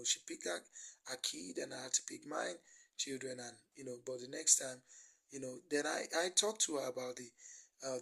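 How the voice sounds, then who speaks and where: This is a middle-aged man with a Nigerian accent whose first language is Yoruba. His voice sits a little high for a man and has a husky, gravelly grain. He preaches informally, rambling and circling back over his phrases as he goes. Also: fairly bright tone, rough recording. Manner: rambling, repetitive, often saying "you know". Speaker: lay preacher livestreaming to followers.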